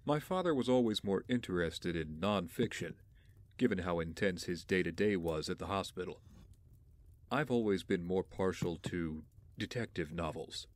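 A man playing an aloof, wry young billionaire in an audio drama, speaking in a dry, measured, haughty way. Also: deep voice, close to an everyday voice. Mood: wry, composed.

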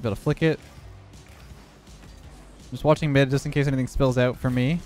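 A young man who has lost some of his voice, hoarse, giving relaxed running commentary over his own gameplay. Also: mid-range voice, a little fast, soft background music. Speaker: gaming YouTuber.

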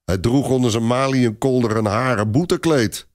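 A man's voice: mid-range voice, moderate pace, darkish tone, no echo, clean studio sound, voice pushed up, lightly acted. A man voicing a coarse, jeering medieval soldier in a storybook reading. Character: coarse, mocking medieval soldier stripping the dead.

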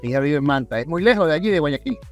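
A man's voice: fairly high pitch, lively intonation, racing, faint music behind. A middle-aged man, hosting a conversational interview into a close microphone on a video call. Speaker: podcast host and pastor. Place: indoor room on a video call. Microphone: desk microphone close in front.

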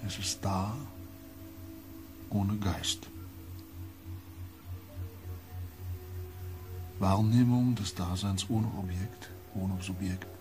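An actor playing a weary, haunted empathic explorer in a science-fiction radio play, speaking haltingly and deliberately. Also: male voice, low voice, fairly even intonation, darkish tone, soft background music. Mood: entranced.

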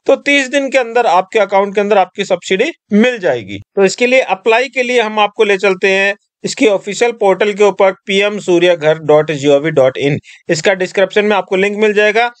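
A man with a high, clear voice walks the listener through an online application one step at a time. He keeps it instructive and calls his viewers friends. The sound is dry and close, recorded at a desk mic in a quiet room. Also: expressive, brisk, hardly any pauses, no echo. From Rajasthan. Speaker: tutorial presenter. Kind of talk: screen-recorded tutorial voice-over.

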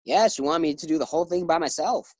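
A woman's voice: low voice, quick pace, a little mellow, no echo, clean studio sound. A woman playing bumbling young husband George. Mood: nervous. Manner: eager, bumbling. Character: young husband George, eager bank clerk. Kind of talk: 1940s radio acting.

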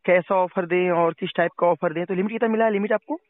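A man's voice: high-pitched, fairly even intonation, fast, no echo. A man hosting a casual phone conversation, explaining things in a reassuring way and repeating his points. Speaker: host, finance help YouTuber. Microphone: telephone. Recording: tinny narrowband phone call recording.

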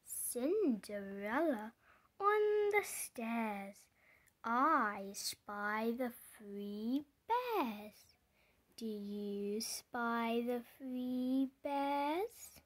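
Storybook reader: husky, animated intonation, deliberate phrasing, asks the listener questions while reading a picture book. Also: mid-range voice. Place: indoors, book held before the camera.

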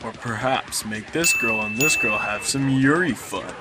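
A man voicing a smug, lecherous villain in a comedic anime parody dub, with a husky, gloating drawl. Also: mid-range voice, measured pace, heavy background noise, lightly acted. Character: smug, lecherous villain plotting for online fame.

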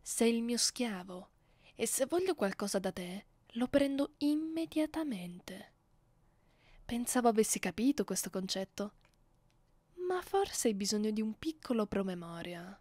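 A woman playing a haughty, seductive vampire mistress in an ASMR roleplay, speaking softly and condescendingly, close to the microphone, with an Italian accent. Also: a little high, animated, no echo. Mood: dominant, chiding. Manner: slow, condescending, teasing, often dropping into whispers. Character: haughty, seductive female vampire mistress, commanding her captive. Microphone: close studio microphone.

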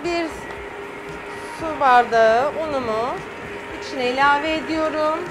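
A woman's voice: high-pitched, slow pace, over music, low-quality recording. A middle-aged woman, a guest chef on a studio cooking show, explaining each step as she works. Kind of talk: cooking demonstration. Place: TV studio kitchen set.

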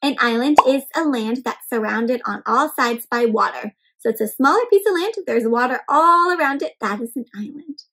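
A young woman hosting an interactive read-aloud for small children, expressive and full of questions addressed straight to her listeners. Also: a little high, normal speed, hardly any pauses, breathy edge, soft background music, rough recording. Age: young adult.

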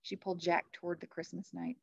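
A woman in her thirties reading a children's story aloud like a teacher, with expressive narration. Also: fairly low pitch, a little fast, vocal fry, breathy edge, dry recording. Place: classroom, indoors. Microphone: computer webcam at desk distance. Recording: muffled webcam audio.

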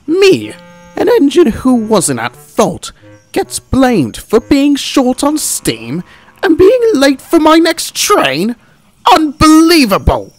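A man voicing a grumpy, stubborn male steam engine, squawking high indignant complaints in an amateur storybook reading. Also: huge pitch swings, slow, faint music behind, heavily acted.

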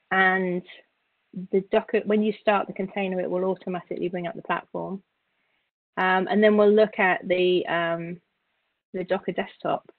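A woman instructor giving an informal software tutorial, explaining step by step with halting, repeated words. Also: lowish voice, dry recording. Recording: narrow, phone-like call audio.

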